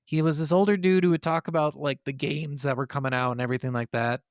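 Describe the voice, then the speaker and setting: A man with a fairly high, smooth voice talks casually as a podcast co-host. He is informal and swears freely, and the sound is close and dry. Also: steady tone, fast, dry recording. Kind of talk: casual gaming chat.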